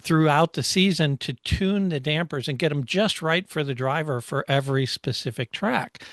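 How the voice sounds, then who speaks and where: A man co-hosting a casual technical discussion, explaining things in a halting way with frequent pauses. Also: a little high, a bit bright, no room sound, clean studio sound. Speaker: co-host explaining motorsport technology.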